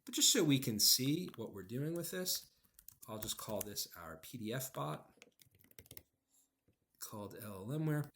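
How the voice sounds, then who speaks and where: A man presents a screen-recorded software tutorial. His mid-pitched voice rises and falls a lot as he explains each step, leaning on key words. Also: vocal fry.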